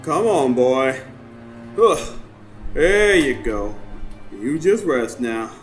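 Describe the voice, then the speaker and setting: A man's voice: mid-range voice, animated, extremely slow, soft background music. An actor playing a rustic, kindly neighbor devoted to his old hound, with folksy colloquial talk, in a radio drama recorded live on stage. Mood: tender, weary. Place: stage before a live studio audience. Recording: roomy live stage recording, background noise.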